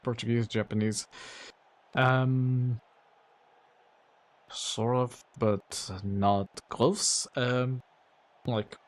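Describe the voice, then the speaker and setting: A man streaming a video game, chatting casually to viewers in a relaxed, rambling way full of fillers. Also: mid-range voice. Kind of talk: casual gameplay commentary.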